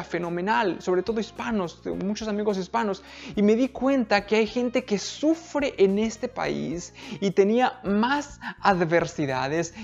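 A woman's voice: mid-range voice, a little mellow, muffled recording. A woman with a Mexican accent preaching a live-streamed sermon, earnest and emphatic, often asking the listeners direct questions.

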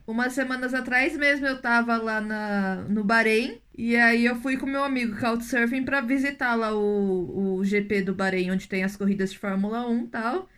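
A Brazilian woman with a Brazilian accent, telling a lively travel anecdote in casual podcast chat and acting out the dialogue. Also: a little high, normal speed, hardly any pauses, clean tone. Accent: Brazilian Portuguese. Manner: lively animated storyteller, acts out dialogue.

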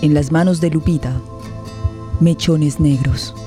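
A woman giving sombre scripted narration for a radio play with measured, deliberate phrasing. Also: low-pitched, steady tone, dark tone, soft background music.

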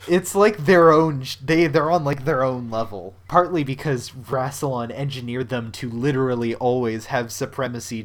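Podcast dude rambling, swears a lot, lots of um and like. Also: male voice, mid-range voice. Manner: rambling, swears freely, frequent fillers. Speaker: podcast co-host. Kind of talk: casual chat.

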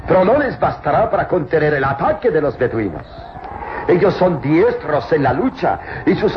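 A sneering villain with swooping delivery. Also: male voice, on the high side. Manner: mocking, gloating, with theatrical exclamations. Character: sneering, cruel male villain, arrogant tomb-robbing master of slaves. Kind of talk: old radio serial acting. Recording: muffled, noisy old radio drama recording.

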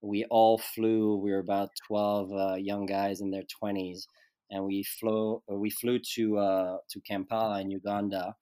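A man from Switzerland sits as a guest on a casual interview, talking about his life in a low, unhurried voice. He pauses to find his words and speaks thoughtfully. The sound is close and dry, with no room around it. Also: flat delivery, mellow, clean tone, no room sound. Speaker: guest actor.

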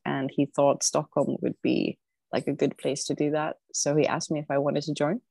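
Woman guest, low, slow and reflective, on a remote call from home. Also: mellow, dry recording. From southern Sweden. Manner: thoughtful, unhurried, often pausing to think. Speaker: podcast guest. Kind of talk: casual conversational interview. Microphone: remote online call.

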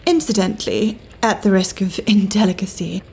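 A woman's voice: medium pitch, normal speed, darkish tone, breathy edge. An actress with a British accent playing a cool, worldly 1930s government agent woman in an audio drama, genteel, dry and arch. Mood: brisk, arch. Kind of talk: full-cast audio drama acting.

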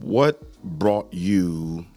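A man with a lowish voice hosts a podcast interview. He jokes and tells stories, and his pitch swings up and down a lot. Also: very slow, faint music behind. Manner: joking, animated storytelling. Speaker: podcast host. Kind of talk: casual chat, joking.

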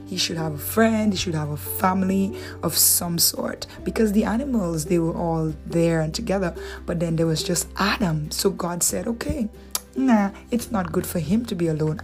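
A woman's voice: on the low side, moderate pace, darkish tone, faint music behind. Woman with a Dominican accent teaching scripture. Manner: conversational, asks rhetorical questions, playful asides. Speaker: Bible teacher. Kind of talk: relaxed devotional teaching.

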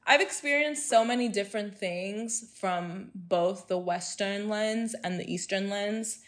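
A young woman from Hong Kong talking in a casual group chat, outspoken and animated, laughing often. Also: mid-range voice, measured pace.

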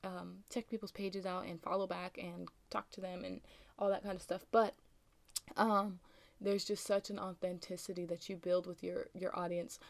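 A young American woman hosting a casual solo podcast, with a slightly raspy just-woke-up voice and a rambling, chatty way of talking full of ums and likes. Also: lowish voice, flat delivery, normal speed, no room sound. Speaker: podcast host.

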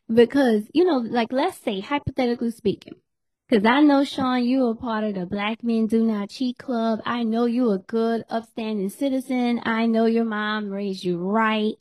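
Podcast host, woman, hoarse and losing her voice, higher-pitched, teasing chat in a dry room. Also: measured pace, darkish tone, no room sound. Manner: chatty, laughs often, teasing. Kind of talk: casual chat.

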